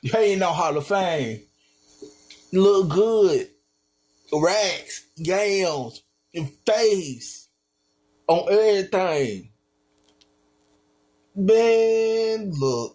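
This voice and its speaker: A man's voice: high-pitched, lively intonation, very slow, pauses often, darkish tone. A man streaming, reacting to a video with slangy, incredulous casual commentary.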